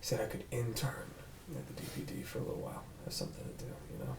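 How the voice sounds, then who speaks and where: A man playing a guarded, gruff human police detective in a drama read-through, blunt and casual in his speech. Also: mid-range voice, flat delivery, quick pace, far from the mic, close to an everyday voice. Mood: subdued, bitter. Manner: blunt, casual, clipped swearing. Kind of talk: table read of a drama script. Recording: roomy echo, off mic, faint noise.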